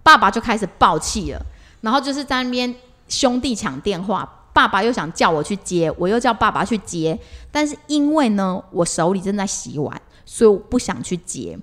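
A middle-aged woman with a Taiwanese accent talks as a podcast co-host. Her mid-pitched voice moves up and down freely. She tells stories in a casual, expressive, conversational way. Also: normal speed. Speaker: podcast co-host, mother recounting family life.

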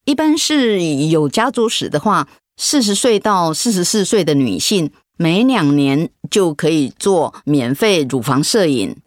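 An actress playing a gentle, hesitant middle-aged mother in a radio drama. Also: on the low side, expressive, a little slow, few pauses, dry recording, clean studio sound. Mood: gentle, reassuring. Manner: gentle, hesitant, conversational with soft fillers.

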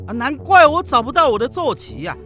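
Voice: high-pitched, big pitch swings, a little fast, sharp tone, faint music behind, heavily acted. An actor playing a boastful, hot-tempered master cook in a children's radio drama. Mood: furious.

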